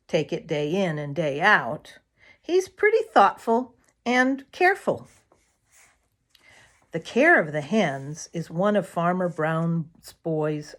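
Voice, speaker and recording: Woman reading a kids storybook out loud, really slow n expressive, lowish voice. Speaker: storybook reader. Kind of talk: read-aloud children's story narration.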